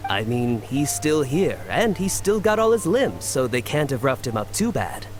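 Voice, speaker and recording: Blunt young male game companion , male actor: cheeky, curious, extremely animated delivery. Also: mid-range voice, moderate pace, natural voice. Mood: wry, puzzled. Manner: casual, cheeky. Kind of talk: video game voice acting. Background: background music.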